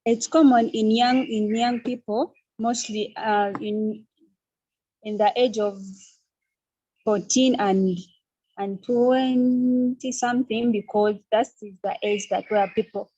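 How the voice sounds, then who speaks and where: A young woman from Uganda with a Ugandan accent, a student speaking on a panel, hesitant with many pauses and restarts. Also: a little high, fairly even intonation, warm tone, slightly rough, no echo, dull audio. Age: young adult. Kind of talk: online panel discussion.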